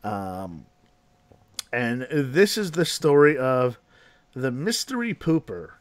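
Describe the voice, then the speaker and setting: Slow, rambling man hosting a chat show. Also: medium pitch, big pitch swings, no echo. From New Jersey, USA. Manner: rambling with many fillers and restarts, laughs often. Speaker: podcast host. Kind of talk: casual chat.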